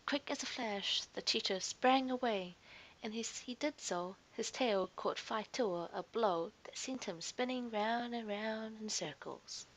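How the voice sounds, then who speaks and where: A woman's voice: fairly high pitch, a little slow, fairly bright tone, rough recording. A woman narrating a scripted children's story expressively, as a storyteller to young listeners.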